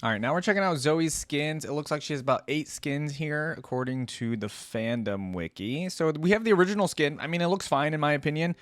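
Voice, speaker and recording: A man's voice: fairly high pitch, brisk, few pauses, no echo. A man giving casual, enthusiastic reaction commentary as a video host, chatting directly to his viewers. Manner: enthusiastic, conversational, addresses viewers as "guys". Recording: music playing behind the voice.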